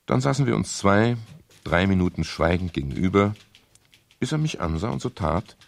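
A man playing a modest, wry private detective in a radio crime play, dry and measured, with a gravelly, husky voice. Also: sits low, expressive. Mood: dry narration. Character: modest, wry male private detective, narrating his case. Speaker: actor in a radio crime drama. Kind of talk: 1970s German radio play, narration and dialogue.

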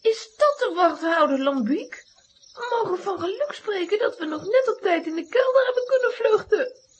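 An actress playing an excitable, plucky young comic-strip heroine in a children's radio play. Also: female voice, very high-pitched, moderate pace, slight background noise, low-quality recording. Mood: agitated.

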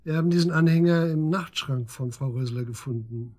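An actor playing a persistent police detective questioning a suspect in a radio drama, matter-of-fact and probing. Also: male voice, a little high, a little fast, warm tone, lightly acted. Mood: insistent, matter-of-fact. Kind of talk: naturalistic German radio drama acting.

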